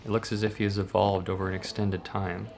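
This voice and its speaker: A reserved hospital doctor from a horror manga dub, acted by a man. He has a lowish, creaky voice and a clinical, measured manner, with faint ambient noise behind him. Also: little pitch movement, darkish tone. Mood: calm, clinical.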